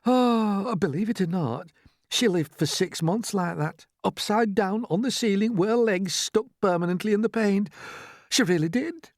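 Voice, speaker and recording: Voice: mid-range voice, moderate pace, dry recording, clean studio sound, pitched above the performer's own voice, lightly acted. A storybook reader voicing a fretful, chatty female spider with a rambling, emphatic, dramatic way of talking. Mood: fretful, aggrieved.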